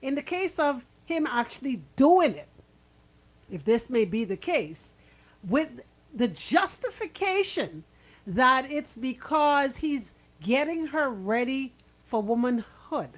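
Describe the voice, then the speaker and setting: A high-pitched woman with a Caribbean accent talks as a radio host. She is blunt and indignant, pressing her points with rhetorical questions in loose, conversational speech. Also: big pitch swings, slow. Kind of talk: casual talk radio discussion.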